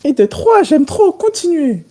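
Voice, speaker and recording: A woman's voice: high voice, very animated, a little slow, dark tone. A woman improvising a bossy, teasing young recruiter in comedy role-play, goading in casual slang. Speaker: amateur role-player on a stream.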